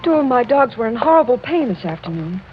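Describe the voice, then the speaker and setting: High-voiced anxious young noblewoman. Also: sing-song, quick pace, music in the background. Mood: worried. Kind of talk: 1940s radio mystery acting. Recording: muffled 1940s radio recording.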